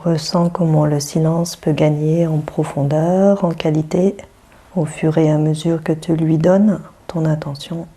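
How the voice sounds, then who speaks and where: A woman guiding a yoga nidra meditation in a soothing, hushed manner, leaving long pauses between phrases. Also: low-pitched, flat delivery. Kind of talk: guided meditation.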